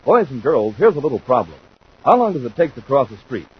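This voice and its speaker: A man narrating as a 1950s radio announcer, in a dramatic, deliberate scripted delivery. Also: medium pitch, very animated, slightly rough. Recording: muffled, noisy 1950s radio recording.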